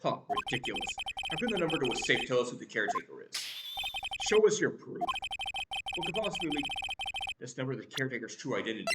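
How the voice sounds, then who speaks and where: A woman's voice: very deep voice, very animated, fast talker, heavy background noise. A woman doing a sneering old prosecutor. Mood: scornful. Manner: haughty, sneering, dismissive. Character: arrogant elderly male prosecutor, scornful. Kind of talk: voicing game text aloud. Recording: game music behind voice. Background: loud game music.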